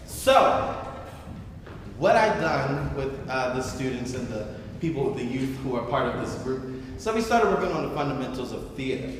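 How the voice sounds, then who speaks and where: A man's voice: medium pitch, sing-song, normal speed. A man hosting a stage event, speaking to an audience with an enthusiastic, theatrical delivery. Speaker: host. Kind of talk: addressing a theater audience, then reading narration. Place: large reverberant indoor venue. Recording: echoey hall, distant, faint noise.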